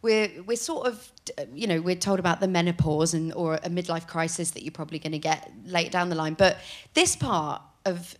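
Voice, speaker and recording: A woman's voice: on the low side, brisk, few pauses. A woman in her late twenties, a podcast guest chatting casually, telling rambling stories with frequent giggles. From England. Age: late 20s. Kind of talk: casual chat.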